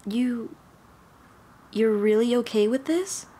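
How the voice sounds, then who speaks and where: A woman's voice: on the high side, slow, dark tone. A woman playing a nervous, apologetic young female dullahan in an intimate ASMR roleplay, speaking hesitantly with stammers and self-deprecation. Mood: surprised, hopeful.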